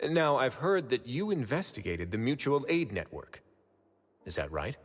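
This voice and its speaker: An actor playing a calm, shrewd, self-assured prison warden in a video game, composed and persuasive. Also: male voice, mid-range voice, moderate pace. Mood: frank, assured. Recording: long reverb, music behind. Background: game music.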